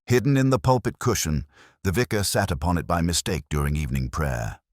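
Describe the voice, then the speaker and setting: A man's voice: low voice, lively intonation, brisk, dry recording, clean studio sound. A man voicing a frightened twelve-year-old choir boy in a story, with a panicky manner. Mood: panicked. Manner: stammering, panicky.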